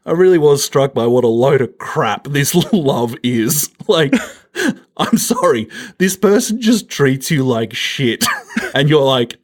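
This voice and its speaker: A man's voice: medium pitch, sing-song, takes it slowly. A man with an Australian accent co-hosting a film podcast, chatting casually in a jokey, blunt, irreverent way.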